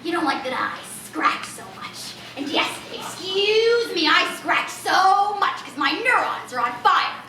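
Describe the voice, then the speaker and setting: Woman as a raspy, cackling street eccentric; sharp, bright tone, mic set back from her. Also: sits high, slight background noise. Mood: taunting. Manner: rambling, confrontational, cackling laughs. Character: eccentric street woman awaiting space aliens. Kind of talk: theatrical comic monologue. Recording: roomy, distant mic.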